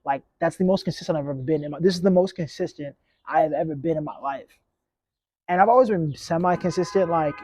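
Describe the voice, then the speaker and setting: A man's voice: fairly high pitch, dark tone, no room sound. A man in his late twenties hosting a casual solo podcast outdoors on a clip-on mic, talking in a reflective, rambling, laid-back way. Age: 20s. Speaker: podcast host.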